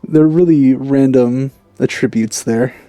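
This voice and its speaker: A man giving casual let's-play commentary with low-key, offhand reactions, close on the mic. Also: measured pace, mellow, dry recording, faint music behind. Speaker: let's player.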